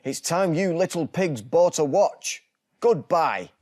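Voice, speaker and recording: A man's voice: on the high side, animated, takes it slowly, a little mellow, slight background noise, voice pushed up. A man voicing a grumpy, weary storybook wolf with a muttering, put-upon manner.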